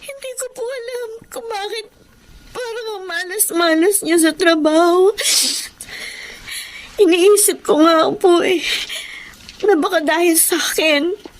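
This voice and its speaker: High-voiced, meek young wife. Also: female voice, measured pace, long pauses, slight background noise. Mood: tearful self-blame. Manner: polite, deferential, hesitant. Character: meek, apologetic, self-blaming young wife and mother. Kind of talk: Filipino radio drama acting.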